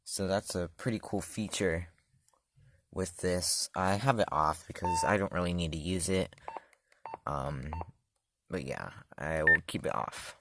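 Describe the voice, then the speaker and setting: Guy with a really low voice showing off a gadget, lots of ums and self-corrections, noisy background. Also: a little slow. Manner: casual, loose, many fillers and self-corrections. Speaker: product reviewer. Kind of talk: casual hands-on demo.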